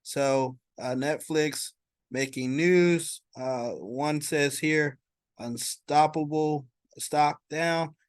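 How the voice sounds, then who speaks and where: A man giving informal market commentary as a stock vlogger, explaining in a halting way and checking in as he goes. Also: on the high side, clean tone, no room sound, studio quality.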